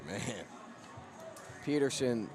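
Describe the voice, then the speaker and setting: A man's voice: medium pitch, animated, takes it slowly, darkish tone, soft background music. A man giving baseball color commentary as an analyst alongside a play-by-play announcer.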